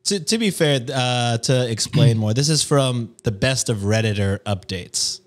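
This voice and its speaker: Male podcast co-host, mid pitch, joking and casual, reads stories. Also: lively intonation, unhurried. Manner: jokey, teasing, playful. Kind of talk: casual chat.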